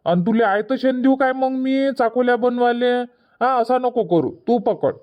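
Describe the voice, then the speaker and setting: A man's voice: very high-pitched, moderate pace, smooth. A man voicing an impatient, stubborn village boy in a cartoon comedy, whiny and argumentative, with a Varhadi Marathi accent. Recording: dull, muffled lo-fi audio.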